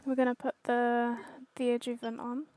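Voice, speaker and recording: Girl streaming a game, chatty casual commentary, highish voice, noisy background. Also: monotone, moderate pace, darkish tone. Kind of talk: casual gameplay commentary, reading along.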